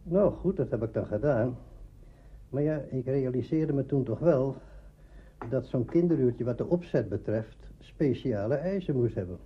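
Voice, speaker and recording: A man's voice: mid-range voice, lively intonation, normal speed, a little hiss or hum. An older man recalling his working past in an informal interview as a writer. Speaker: radio play writer interviewed. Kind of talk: spoken reminiscence. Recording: dull archive interview recording.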